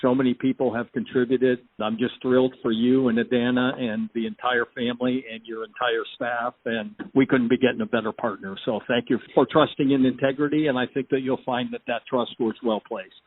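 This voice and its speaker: A man's voice: medium pitch, fairly even intonation, fairly quick, hardly any pauses. A man giving enthusiastic, effusive congratulations as a guest on a call. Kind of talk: congratulatory remarks. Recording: phone-like call audio.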